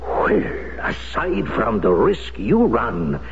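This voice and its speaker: A man's voice: medium pitch, wildly swooping pitch, slow, creaky, full character voice. A grave, formal Frenchman. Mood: dejected. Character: grave, dejected Frenchman named Dubois. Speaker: actor in a radio drama. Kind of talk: 1970s radio drama acting. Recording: dull old radio recording, faint hiss.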